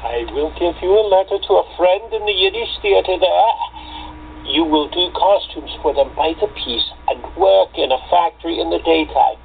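Guy doing a worried loving village dad in a radio play, creaky voice, earnest, kinda noisy recording. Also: sits high, moderate pace. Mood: earnest, persuading. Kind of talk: amateur radio play acting. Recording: tinny phone-like line with noise.

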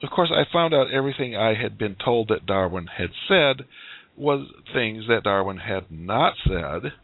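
A man's voice: fairly low pitch, expressive, moderate pace, dry recording. A man hosting a talk show, asking questions and telling his own story in a casual, chuckling way with many fillers. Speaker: show host. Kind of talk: casual interview chat. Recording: dry tinny phone-like line.

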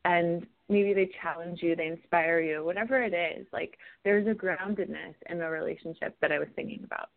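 A woman singer-songwriter from New York City talks through her answers in a radio interview, thoughtful and rambling. Her speaking voice sits slightly low for a woman. The sound is narrow and tinny, like a phone line. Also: fairly even intonation, fairly quick, gravelly, few pauses.

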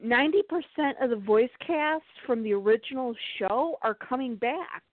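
A woman in casual conversation, speaking haltingly and often restarting or repeating her phrases. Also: fairly high pitch, lively intonation, no room sound. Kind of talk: casual chat. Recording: thin phone-like audio.

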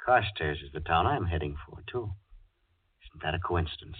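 An actor playing a falsely friendly, pushy stranger in a 1940s radio thriller, with a folksy, insinuating manner. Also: male voice, sits low, expressive, normal speed, husky. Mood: falsely genial. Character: smooth-talking sinister male stranger, falsely friendly, pushy. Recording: tinny, band-limited old radio recording.

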